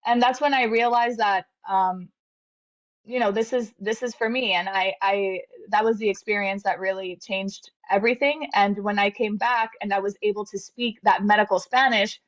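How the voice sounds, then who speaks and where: A woman in her thirties speaks at a middle pitch, earnest and reflective, often restarting her sentences with fillers. Her interview audio is a little dull and lo-fi. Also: steady tone, normal speed. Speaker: interview guest, medical graduate. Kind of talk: conversational storytelling interview.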